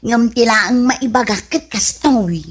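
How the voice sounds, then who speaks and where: A woman playing a giddy, clingy flirt in an Ilocano comedy radio drama, with an Ilocano accent and a giggly, drawn-out teasing delivery. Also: on the high side, slightly rough. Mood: matter-of-fact.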